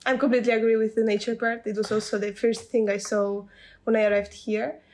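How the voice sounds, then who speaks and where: A woman's voice: on the high side, flat delivery, fast, darkish tone, a little hiss or hum. A young woman from Poland raised in Spain, volunteering as podcast co-host and asking questions. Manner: attentive listener, short affirming hums. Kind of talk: podcast interview.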